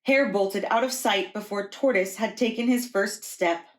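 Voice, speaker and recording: A woman's voice: medium pitch, normal speed. A woman reading a picture book aloud to young children as a preschool teacher.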